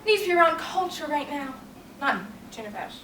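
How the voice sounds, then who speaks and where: Young woman as frazzled, bright-voiced neurotic woman. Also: sits high, expressive. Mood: flustered. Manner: hurried, exasperated, rambling. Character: frazzled, self-absorbed, neurotic woman ranting about everyday frustrations. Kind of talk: comedic stage monologue. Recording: roomy, distant mic, faint noise.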